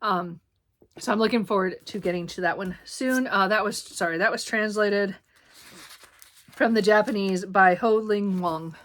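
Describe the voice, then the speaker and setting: A woman chatting casually about her new books, rambling with many ums and self-corrections, a little hoarse and clearing her throat. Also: medium pitch, normal speed. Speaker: book vlogger. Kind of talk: casual book haul chat.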